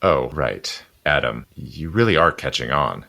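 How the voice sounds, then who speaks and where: Male actor imperious rogue, very deep voice, highly animated, urbane, commanding, impatient, darkly flippant, audio drama. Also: unhurried, vocal fry, heavily acted. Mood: wry.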